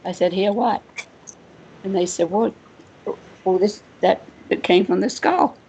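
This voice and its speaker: A woman's voice: medium pitch, unhurried, gravelly, a little mellow, slight background noise, low-quality recording. A woman from Wales with a British accent, a guest telling a personal story, chuckling often and acting out quoted voices. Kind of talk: anecdotal storytelling.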